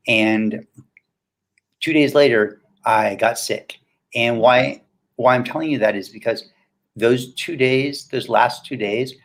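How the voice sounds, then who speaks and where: A man in his fifties talks as a guest coach in a casual interview. His voice sits at an average pitch for a man. He speaks motivationally, often setting up a question and then answering it himself. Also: creaky. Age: 50s.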